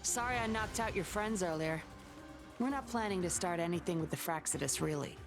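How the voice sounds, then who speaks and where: A woman plays a sly, self-assured undercover investigator from a video game. Her low female voice teases coyly while staying composed, with music playing underneath. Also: brisk, lightly acted. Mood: coolly negotiating. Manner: coy, composed, teasing. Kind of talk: scripted video game voice acting.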